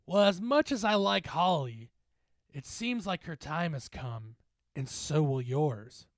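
A man's voice: on the high side, animated, no echo, studio quality, pitched above the performer's own voice. Man voicing a menacing, taunting specter. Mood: gloating, threatening. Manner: menacing, taunting, drawn-out address. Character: menacing supernatural male specter with fangs, taunting, unhurried.

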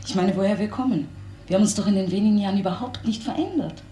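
A woman's voice: mid-range voice, very fast, dark tone, close to an everyday voice. An actress playing an earnest, self-possessed but uneasy young woman in a German crime radio play.

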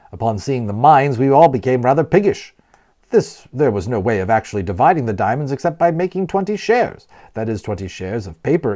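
A man reading a children's novel aloud as a storyteller, expressively and with deliberate emphasis on key words. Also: mid-range voice, mellow. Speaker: audiobook narrator and storyteller.

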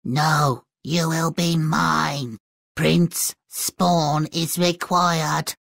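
A woman's voice: low-pitched, level tone, drawn-out words, vocal fry, a bit bright, no room sound, studio quality, exaggerated voice. A woman playing a young fairy-tale princess in a video game, coy and theatrical, sweet on the surface and vengeful underneath. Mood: coldly vengeful. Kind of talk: video game character acting.

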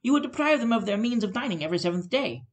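An old, argumentative miser, as a reader puts him on, pressing hard with quick, pointed questions. He sounds probing and stubborn, like a character in a storybook read aloud. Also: medium pitch, lively intonation, pitched above the performer's own voice, close to an everyday voice. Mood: accusing.

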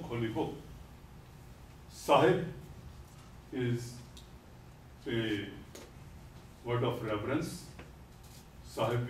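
An older man lectures in a very slow, deliberate way, repeating each key term. He stands at a podium in a classroom, picked up by a distant camera. The room is echoey, with faint noise behind him. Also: medium pitch. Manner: gestures while speaking at a podium. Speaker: lecturer on Sikhism. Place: classroom with whiteboard. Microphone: far camera.

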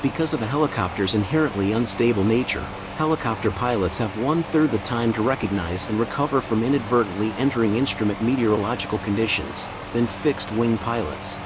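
A man's voice: medium pitch, quick pace, hardly any pauses, background noise. A man reading a scripted, documentary-style narration as the narrator.

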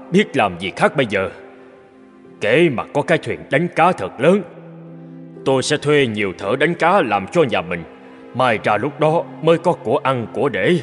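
Lively, anxious old fisherman. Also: mid-range voice. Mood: weary. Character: burly old fisherman father, anxious and regretful. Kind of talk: animated fairy-tale dialogue.

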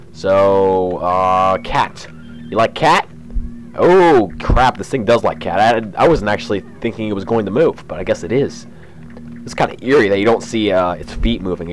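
Lowish young man with a cold. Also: rough recording. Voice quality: slightly raspy. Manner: chatty, relaxed walkthrough narration with fillers. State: has a cold. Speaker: let's play host. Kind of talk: casual gameplay commentary.

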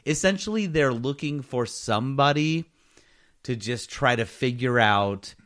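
Joking man co-hosting a podcast, medium pitch. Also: expressive, measured pace, a bit bright. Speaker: podcast co-host. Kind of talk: casual comic banter on a gaming podcast.

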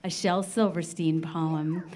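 A middle-aged American woman from western Nebraska presenting a live children's radio show, speaking directly to listeners in simple, deliberate phrases. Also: fairly low pitch, fairly even intonation, a little mellow. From western Nebraska, United States. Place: large library event room with seated audience. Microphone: microphone on a stand, close in front.

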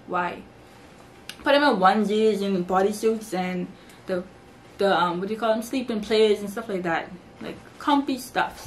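A woman's voice: medium pitch, a little hiss or hum. A young woman from the Bahamas, chatting casually to camera in her bedroom as she shows off baby shower gifts. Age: young adult. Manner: chatty, lively, talks with her hands. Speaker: vlogger. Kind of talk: casual haul vlog. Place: bedroom, indoors.

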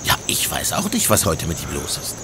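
An actor playing a dutiful police inspector in a radio play, reporting in a factual, official manner. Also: male voice, a bass voice, wildly swooping pitch, fairly quick, noisy background, heavily acted. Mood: puzzled. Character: dutiful, matter-of-fact male police inspector. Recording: outdoor insect and animal ambience behind.

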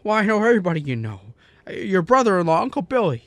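A man's voice: high voice, sing-song, quick pace, no echo. An actor in a radio drama playing a distraught everyman husband and son, stammering and pleading urgently. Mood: agitated disbelief.

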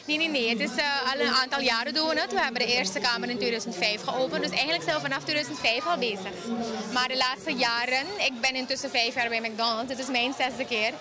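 A woman's voice: sits high, a little fast. Young woman explaining over crowd noise. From Suriname. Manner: chatty, explaining with fillers. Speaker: PR officer. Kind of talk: on-site interview. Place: busy fast-food restaurant, indoors. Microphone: handheld reporter mic. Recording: noisy crowd and music behind. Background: crowd chatter and music.

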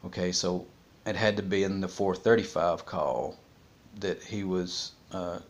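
A man in his forties talking casually and unscripted, haltingly thinking aloud, on a home vlog. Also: deep voice, steady tone. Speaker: vlogger discussing a criminal case. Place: small room at home, indoors.

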